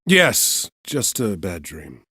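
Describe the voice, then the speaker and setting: A man's voice: low-pitched, huge pitch swings, slow pace, sharp tone, slight background noise, exaggerated voice. An actor playing an earnest, resolute male soldier hero in a video game, direct and serious. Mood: troubled. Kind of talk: video game cutscene acting.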